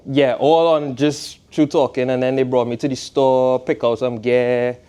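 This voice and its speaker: Young trinidadian guy, smooth clear voice, chill chatty class talk, keeps going "you know". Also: medium pitch, flat delivery, measured pace, dark tone. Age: 20s. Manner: laid-back, conversational, asks "you know what I mean". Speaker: guest speaker, footwear designer. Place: college classroom.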